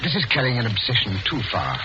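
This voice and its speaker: A man's voice: mid-range voice, huge pitch swings, quick pace, noisy background. A man playing a devoted, patient middle-aged businessman husband in a 1940s radio drama. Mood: patient, courteous. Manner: courteous, patient, soothing. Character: tall, gray-haired, devoted businessman husband, gently reassuring. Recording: muffled 1940s radio broadcast with loud music.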